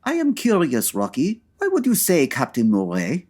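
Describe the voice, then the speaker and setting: Man playing a husky, suspicious foreign henchman. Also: on the high side, huge pitch swings, normal speed, darkish tone. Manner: stiff, formal phrasing.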